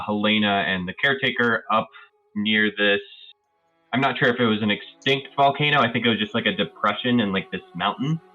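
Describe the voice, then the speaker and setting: A man's voice: lowish voice, sharp tone. A man who plays in a tabletop roleplaying game, giving a casual, hesitant recap from memory on slightly dull audio. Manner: hesitant, loosely recalling, hedging.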